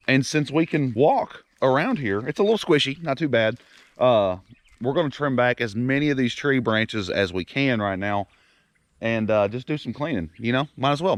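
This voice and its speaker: A young Kentucky man talks to camera outdoors, his voice hoarse and worn from a head cold. He rambles in an easygoing, self-deprecating way. The sound is dry and close, and his pitch sits at an ordinary middle level. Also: no room sound. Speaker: farm vlogger. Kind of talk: casual vlog talk to camera. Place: outdoors by a pond on a farm.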